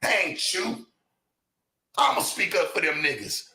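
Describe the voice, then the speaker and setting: A man's voice: on the high side, very animated, unhurried, sharp tone, husky. A man in a replayed livestream clip, taunting and insulting a rival. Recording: roomy replayed stream audio.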